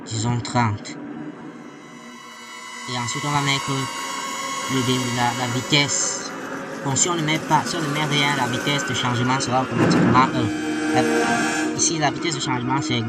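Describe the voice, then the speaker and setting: Distant male tutorial voice over loud music. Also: medium pitch, steady tone. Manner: hesitant, halting step-by-step explanation. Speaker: programming tutorial presenter. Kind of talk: screencast tutorial, thinking aloud while coding.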